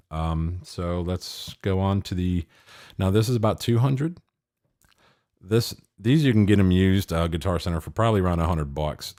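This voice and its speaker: A middle-aged man with a very low voice gives a casual demonstration of recording gear. He rambles in an unpolished, self-deprecating way. He is close on a studio mic, and the sound is dry. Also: a little mellow, no room sound. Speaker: podcaster demonstrating audio gear. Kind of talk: casual gear review talk. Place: small home office studio, untreated room. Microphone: close studio microphones with pop filters.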